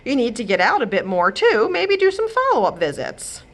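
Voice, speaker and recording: A woman's voice: on the high side, wildly swooping pitch, moderate pace, voice pushed up. Long-suffering storybook husband, played by a woman: mild, soothing, murmured, with varied melody. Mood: reassuring. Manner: murmuring, muttering, placating.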